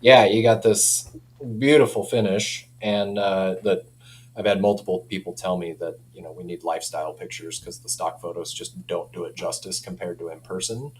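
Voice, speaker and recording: A man with a fairly low voice talks in a chatty, informal way, like an enthusiastic company rep. His delivery is casual and keen. There is noticeable noise and hum behind him. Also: fairly even intonation, normal speed, low-quality recording. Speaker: audio company representative, guest. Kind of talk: casual product chat.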